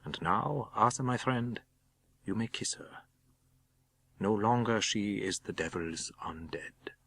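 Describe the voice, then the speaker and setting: A man voicing a grave, commanding foreign professor who hunts vampires, speaking slowly and solemnly in broken English. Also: on the low side, creaky, fairly bright tone, slight background noise, full character voice. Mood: grave, gentle.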